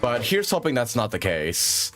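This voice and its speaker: A man's voice: medium pitch, very animated, normal speed, a bit bright, faint music behind. A man hosting a livestream with casual, rambling gaming commentary and frequent chatty asides. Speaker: livestream host.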